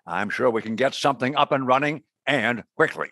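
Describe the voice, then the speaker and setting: Flustered, husky intelligence boss. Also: male voice, mid-range voice, animated, a little fast, pushed and bright, no echo, clean studio sound. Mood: eager to please. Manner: stammering, over-eager. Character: flustered, eager-to-please male intelligence deputy director. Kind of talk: comedic radio play acting.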